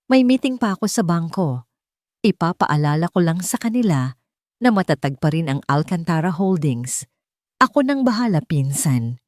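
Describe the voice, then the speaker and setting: A woman's voice: lowish voice, very animated, moderate pace, darkish tone, no echo, clean studio sound. Smug rich man, as a woman reads him. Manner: condescending, mock-friendly. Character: smug, falsely kind rich businessman cousin.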